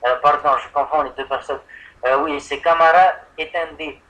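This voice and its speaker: Bright-voiced man, hesitant, on the phone. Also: fairly high pitch, fairly even intonation, normal speed. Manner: hesitant, rambling, playing confused. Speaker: prank caller posing as an insurance customer. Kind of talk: improvised prank phone call. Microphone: telephone. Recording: tinny telephone line call.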